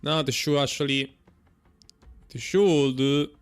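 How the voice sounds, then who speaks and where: A young man giving casual gameplay commentary, muttering and repeating himself in a halting way. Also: medium pitch, clean tone, soft background music. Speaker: game streamer.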